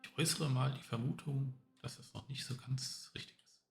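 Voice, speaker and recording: Creaky-voiced man streaming, roomy echo. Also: mid-range voice, fairly even intonation, a little fast. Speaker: game streamer. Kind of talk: casual live playthrough commentary. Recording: roomy echo over game music.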